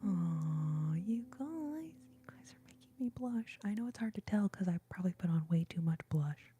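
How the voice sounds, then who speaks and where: A woman hosting a livestream, whispering to her viewers and reading their comments in a soft, breathy, affectionate way. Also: lowish voice, animated, normal speed, soft background music. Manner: gushing, affectionate, calls viewers babes and y'all. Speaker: livestream host reading chat. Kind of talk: whispered ASMR chat with viewers.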